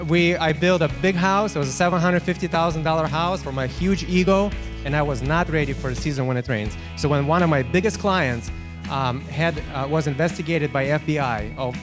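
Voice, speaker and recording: A man from Ukraine with a Ukrainian accent, sharing a personal testimonial to a group in a halting, emphatic way. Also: fairly high pitch. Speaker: business owner giving a testimonial.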